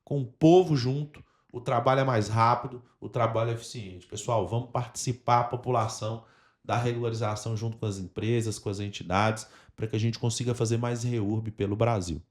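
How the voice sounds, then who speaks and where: A man's voice: mid-range voice, fairly even intonation, a little fast. A man with a Brazilian Portuguese accent hosting a podcast, speaking earnestly and formally as he addresses and urges on the audience. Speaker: podcast host.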